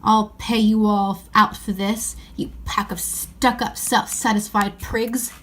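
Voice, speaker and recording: A woman's voice: mid-range voice, little pitch movement, unhurried, natural voice. A woman voicing a sulky, resentful younger schoolboy in a children's story, grumbling and haughty. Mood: spiteful.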